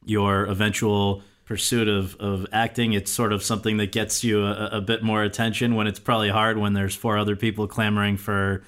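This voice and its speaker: A man's voice: lowish voice, flat delivery, fairly quick, hardly any pauses, fairly bright tone. A man hosting an interview podcast, speaking in prepared introductions and questions.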